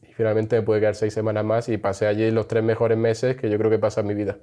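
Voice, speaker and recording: Young guy from spain, relaxed and chatty, mellow dark voice, laughs a lot. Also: on the low side, quick pace. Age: young adult. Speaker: interview guest. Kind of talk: casual interview chat.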